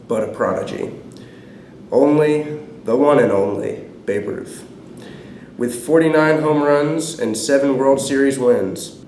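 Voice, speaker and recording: A man's voice: mid-range voice, darkish tone. Young man presenting sports, echoey. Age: teens. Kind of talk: scripted student newscast. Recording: echoey room, noisy amateur recording.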